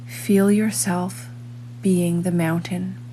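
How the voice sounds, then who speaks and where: Low, mellow woman guiding a meditation. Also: slight background noise. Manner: calm, measured, with long pauses. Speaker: meditation guide, reader. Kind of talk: guided meditation, scripted narration.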